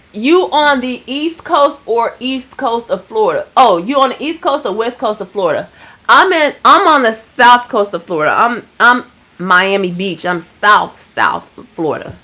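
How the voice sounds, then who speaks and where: A woman in her thirties from Georgia, hosting a casual livestream and chatting with viewers. She is laid-back, swears freely and keeps giving shout-outs. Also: a little high, lively intonation, a little slow. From Georgia, USA. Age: 30s. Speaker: livestream host.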